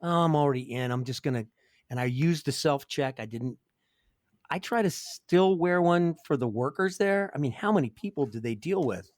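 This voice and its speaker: A man hosting a podcast, asking questions in a relaxed, curious, conversational way. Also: a little high, moderate pace, no room sound, studio quality. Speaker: podcast host. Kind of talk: conversational interview.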